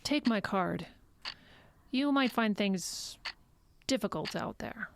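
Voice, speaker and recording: An actress playing a measured, firm and slightly condescending psychologist in an audio drama. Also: female voice, mid-range voice, animated, gravelly, dry recording. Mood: cool, dismissive.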